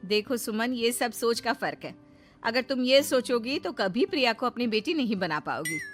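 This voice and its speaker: A woman voicing a kind, gentle older neighbour in a Hindi moral cartoon, courteous and gently admonishing. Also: a little high, animated, moderate pace, soft background music, pitched above the performer's own voice.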